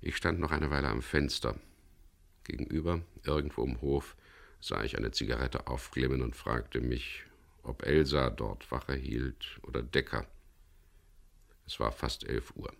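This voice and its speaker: An actor playing a gruff, wary skipper who tells his story tersely in a radio crime drama. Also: extremely low pitch, measured pace, exaggerated voice. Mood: calm, matter-of-fact. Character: wary, gruff boat skipper, man, narrating his adventure.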